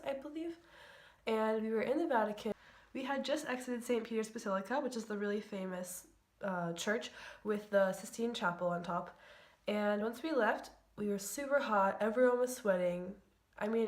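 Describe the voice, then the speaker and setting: A young woman telling a chatty, giggly storytime vlog full of "like" fillers. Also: medium pitch. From United States. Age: young adult. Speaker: vlogger.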